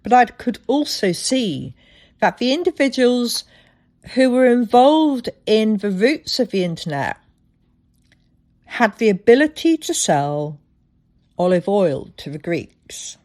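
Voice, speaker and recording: A woman hosting a solo podcast, telling things reflectively with deliberate, dramatic phrasing. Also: a little high, very animated, a little mellow. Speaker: podcast host.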